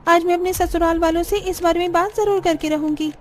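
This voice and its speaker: A woman's voice: very high-pitched, fairly even intonation, fairly quick, a little mellow, a little hiss or hum. A woman voicing a fed-up young village daughter-in-law in a cartoon, complaining emphatically.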